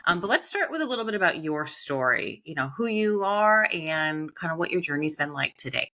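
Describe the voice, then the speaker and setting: A woman's voice: low voice, big pitch swings, a little fast, sharp tone. Upbeat woman hosting a podcast. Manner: upbeat and enthusiastic. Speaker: podcast host. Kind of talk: scripted intro then interview chat.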